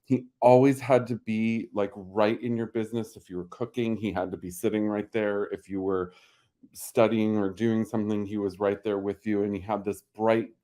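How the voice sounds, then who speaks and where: A man from Pennsylvania, a guest on a video call, talking haltingly and emotionally about his late cat with many pauses and restarts. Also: on the low side, fairly even intonation, warm tone. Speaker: pet owner guest. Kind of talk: emotional interview talk.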